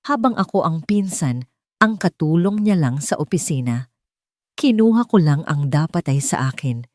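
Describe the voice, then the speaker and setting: A woman's voice: low voice, expressive, moderate pace, darkish tone, no room sound, clean studio sound. A woman reading a bitter, envious male schemer in a dramatic story, resentful and emotional. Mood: bitter outburst.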